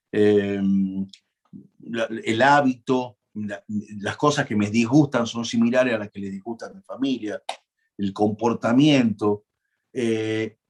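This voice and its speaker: A man from Argentina, giving a university law lecture in a conversational way, often asking the class questions, using frequent fillers and restarts. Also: fairly low pitch.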